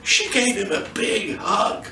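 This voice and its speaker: Male storyteller, animated and fairly high in pitch, expressive children's narration, recorded roomy and distant. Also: slow, slight background noise. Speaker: storyteller reading aloud. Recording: roomy echo, distant microphone.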